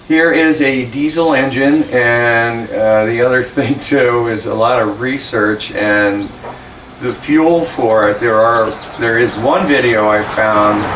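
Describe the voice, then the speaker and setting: A man's voice: on the low side, fairly bright tone, slight background noise, low-quality recording. A man in his fifties casually explaining his machining project in a home workshop, rambling with many ums and restarts. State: recovering voice after flu. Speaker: hobby machinist.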